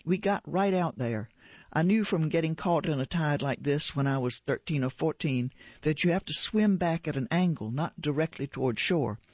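Older guy from albania telling his story, read by a woman, steady, dull lo-fi sound. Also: a little high, moderate pace, dry recording, lightly acted. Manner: plain steady reading. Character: older Albanian-born male photographer and swimmer. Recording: dull lo-fi radio recording.

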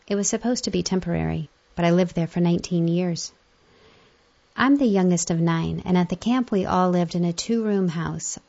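A woman with a fairly low voice reads magazine articles aloud. There is a pronounced creak in her delivery, and the recording is somewhat dull and muffled. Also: a little mellow.

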